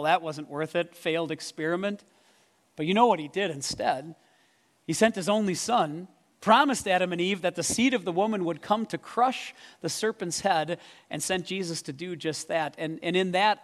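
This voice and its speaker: A pastor answers questions from the congregation, his voice sitting a bit high for a man. He speaks casually and works his thoughts out aloud, stumbling and restarting now and then. His microphone runs through the PA and rings out with the long reverb of a church hall. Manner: conversational, thinking aloud with restarts. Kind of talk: church Q and A talk. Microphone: microphone over a PA.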